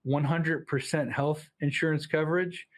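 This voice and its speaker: A man's voice: a little high, fairly even intonation, moderate pace. A man hosting an interview, enthusiastic and full of praise for his guest. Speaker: interview host. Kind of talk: interview host.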